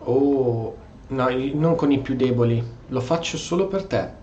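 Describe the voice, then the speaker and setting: A man's voice: mid-range voice, normal speed, reverberant, muffled recording, a little hiss or hum. A man giving casual, reactive commentary as he plays a video game. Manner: animated, reactive. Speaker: gameplay streamer.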